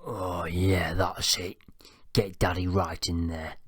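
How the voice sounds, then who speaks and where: A man voicing a crude, abusive, bedridden old father, gruff and demanding. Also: low voice, level tone, slow, no echo, heavily acted. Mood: leering. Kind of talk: audiobook character voice.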